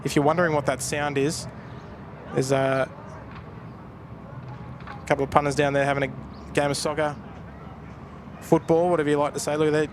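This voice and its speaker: A man's voice: on the high side, level tone, normal speed, pauses often. A man with an Australian accent hosting a live stream, chatting casually outdoors while thanking viewers. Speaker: live stream host and plane spotter. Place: outdoors at an airport viewpoint. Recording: outdoor noise with traffic and aircraft.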